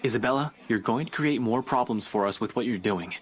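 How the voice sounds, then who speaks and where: An actor playing a brusque, dismissive young police officer in a horror video game. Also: male voice, mid-range voice, fairly quick, slight background noise, lightly acted. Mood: irritated. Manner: curt, dismissive, exasperated.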